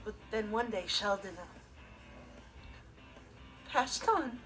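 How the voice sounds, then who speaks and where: A man's voice: high-pitched, lively intonation, slow, fairly bright tone, heavily acted, rough recording. A young male actor playing an earnest, responsible, easily exasperated teenage stepbrother, telling stories with emphatic comic timing in a TV sitcom. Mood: mournful deadpan. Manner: emphatic, theatrical comic delivery. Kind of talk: scripted comic monologue in a TV sitcom. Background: music and laughter.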